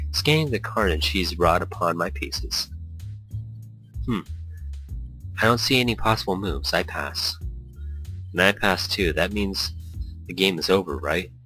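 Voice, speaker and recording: A man's voice: low-pitched, pushed and bright, low-quality recording. A man hosting a let's play, reading visual novel text aloud and drifting into rambling, casual commentary. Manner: rambling asides. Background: background music.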